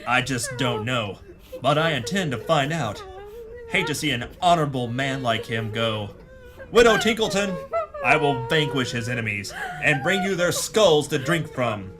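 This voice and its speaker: An actor playing a pompous, hard-boiled hero detective in a melodramatic parody pulp audio drama. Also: male voice, medium pitch, lively intonation, normal speed, music in the background, lightly acted. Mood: solemn, vengeful. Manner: bombastic, melodramatic.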